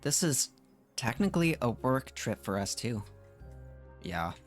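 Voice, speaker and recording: Streamer wanted: casual gameplay reactions, slow delivery, lively pitch swings, thinking out loud. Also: faint music behind. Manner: musing aloud, reacting to the game. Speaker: game streamer. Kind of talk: casual live gameplay commentary.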